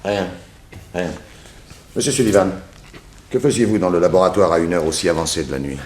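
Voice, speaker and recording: Male actor as deep-voiced detective, formal, insistent questioning, suspicious, 1960s radio crime drama. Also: fairly quick, darkish tone, slight background noise, close to an everyday voice. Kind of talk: 1960s French radio detective serial acting.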